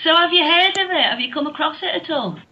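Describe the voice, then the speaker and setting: A woman's voice: very high voice, expressive, fast, bright, forceful tone. A woman with a Scouse accent hosting a casual internet radio chat. She is chatty and laughs often between her points. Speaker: radio show host. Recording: muffled online radio audio with faint hiss.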